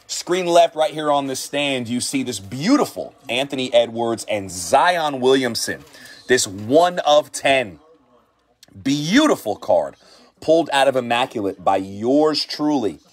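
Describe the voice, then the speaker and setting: A man hosting a card reveal from his shop, talking with excited hype and repeating his praise. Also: fairly low pitch. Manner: hyped, repeats himself. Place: shop desk indoors.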